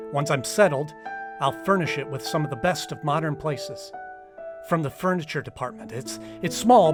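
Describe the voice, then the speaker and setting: A man acts out a nervy, penniless poet narrating a radio-play diary. His voice is on the high side, theatrical and deliberate, halting on repeated words. He sounds secretive and self-satisfied, and music plays clearly beneath him. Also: close to an everyday voice. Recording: music under the voice.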